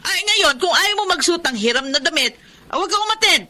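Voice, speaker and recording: A woman's voice: very high voice, lively intonation, fairly quick, bright, forceful tone, full character voice. An actress with a Filipino accent playing a weary, hard-working, stern single mother in a radio drama. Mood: scolding.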